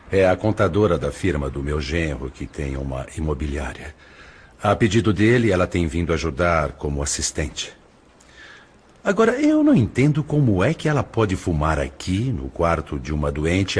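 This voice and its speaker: An actor playing an indignant older spirit in an audio drama, speaking with emphatic disapproval. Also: male voice, big pitch swings, normal speed, darkish tone, heavily acted. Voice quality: low, husky.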